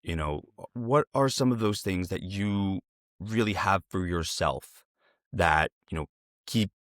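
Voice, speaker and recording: A man's voice: low voice, unhurried, a bit bright, no echo, studio quality. A man hosting a casual interview, talking in a wordy, affirming, conversational way. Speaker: interviewer, podcast host.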